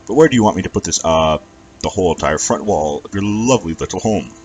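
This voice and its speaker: A man's voice: low voice, animated, fast, a little mellow. A man voicing a large, polite male dragon in a story, with a British accent and a pompous, flowery manner. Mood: courteous.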